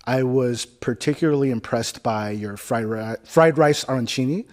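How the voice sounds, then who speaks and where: A man's voice: mid-range voice, moderate pace, a little mellow. A man hosting a casual interview, laid-back and joking, full of filler words. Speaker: podcast host.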